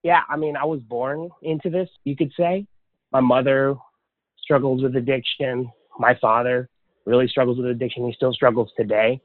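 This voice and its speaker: A man's voice: mid-range voice, steady tone, normal speed, no room sound. A man from Hawaii, a podcast guest, telling his life story in a candid, plainspoken way. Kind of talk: casual interview storytelling. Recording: tinny, dry phone-like line.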